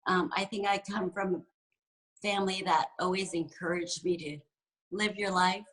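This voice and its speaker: A woman's voice: on the low side, steady tone, moderate pace, a bit bright, poor audio. A woman in her forties from San Francisco, talking candidly and reflectively as an interview guest, with frequent fillers. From San Francisco, USA. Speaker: guest executive and entrepreneur. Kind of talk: conversational interview.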